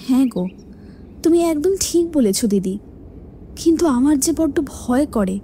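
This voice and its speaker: Mellow higher female voice, acted timid cartoon village wife, plaintive, confiding, faint noise. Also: lively intonation, moderate pace. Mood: anxious agreement. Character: worried, timid village wife gossiping about an extortionist headman. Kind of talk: Bengali cartoon story dialogue.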